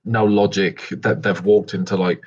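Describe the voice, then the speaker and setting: A man's voice: low voice, fairly even intonation, moderate pace, slight background noise. A man casually improvising what his character does as a player in a tabletop roleplay game. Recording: dull, lo-fi game recording.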